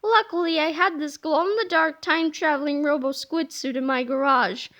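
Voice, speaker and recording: A woman's voice: sits high, moderate pace, fairly bright tone. Woman doing smug boy genius Melvin. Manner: smug and self-satisfied.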